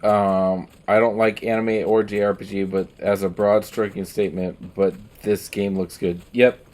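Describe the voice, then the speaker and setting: A man's voice: fairly low pitch, level tone, normal speed, darkish tone. A man chatting casually and emphatically on a livestream while playing a game, giving opinions with some swearing. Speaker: game streamer. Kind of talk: casual livestream chat over gameplay.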